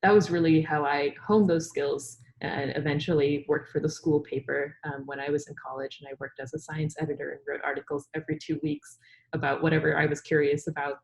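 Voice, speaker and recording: A woman's voice: deep voice, fairly quick, creaky, a little mellow, a little hiss or hum, poor audio. A young woman, a native Urdu speaker, answering interview questions on a video call with an upbeat, storytelling manner and frequent ums. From Pakistan. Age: young adult. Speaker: guest scientist. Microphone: headset on video call.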